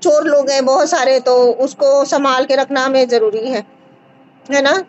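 A woman in her thirties hosting a live video call at home, calmly advising a caller and checking in with short questions. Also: high-pitched, a little slow, highs cut off. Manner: reassuring, repeats short checks like "है ना?". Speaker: host advising a caller on a skin remedy. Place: indoor home room with curtains. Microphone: phone front camera on a video call.

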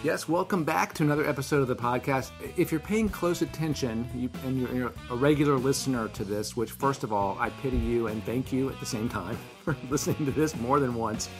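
Male podcast host, hoarse and raspy, wry rambling delivery, mid pitch. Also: brisk, hardly any pauses, over music. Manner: rambling, self-deprecating, wry. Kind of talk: casual conversational interview.

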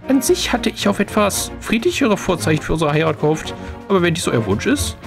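A man's voice: sits high, big pitch swings, fairly quick, vocal fry, over music, voice pushed up, heavily acted. A man voicing an anxious young princess in a fantasy game. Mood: anxious, protesting.

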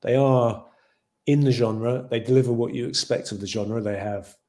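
A man's voice: mid-range voice, steady tone, normal speed, mellow. A man in his forties with an English accent, a film director answering questions on a video call in a thoughtful, rambling way. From Ireland. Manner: thoughtful, rambling with many "you know" fillers. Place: home room with shelves.

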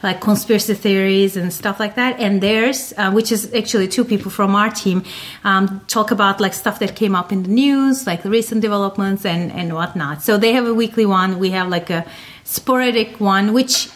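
Woman guest, quick bursts. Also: medium pitch. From Turkey. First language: Turkish. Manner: many fillers, talks in quick bursts. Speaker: guest, science podcaster. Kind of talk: interview answers.

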